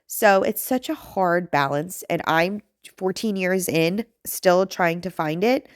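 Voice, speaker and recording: A woman in her thirties with a raspy, nasal voice, chatting through her home cleaning vlog in a rambling, self-deprecating way. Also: on the low side, slow, no room sound, clean studio sound. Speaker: home vlogger and mom. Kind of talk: chatty voice-over narration.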